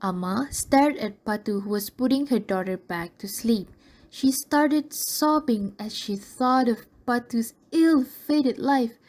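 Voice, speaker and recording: A woman's voice: on the high side, slow pace, a little mellow. A woman narrating a scripted radio drama in a storytelling style.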